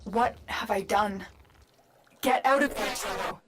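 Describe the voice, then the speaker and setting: An actress playing a solemn, protective guide and commander in a video game, speaking earnestly in short, measured phrases. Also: female voice, mid-range voice, natural voice. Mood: alarmed. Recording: noisy game capture with ambience.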